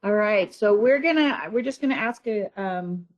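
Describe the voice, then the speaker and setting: A middle-aged woman, a parent coach, hosting a panel on a video call, halting and trailing off softly. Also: mid-range voice, expressive, muffled recording. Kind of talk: online meeting chat.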